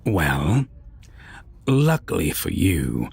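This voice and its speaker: A man playing a menacing, condescending vampire captor in a roleplay audio drama, talking in a mocking, deliberate way with husky, breathy whispers. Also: low-pitched, big pitch swings, a little mellow, full character voice. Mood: cool, mocking. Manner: slow, deliberate, mocking, formal phrasing.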